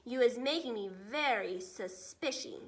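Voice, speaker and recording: A woman's voice: fairly high pitch, lively intonation, measured pace, poor audio. Storybook villain: brutish giant , played by a woman, bellowing, garbled grammar, big swooping delivery. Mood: suspicious. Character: huge brutish man-eating giant, bellowing and suspicious.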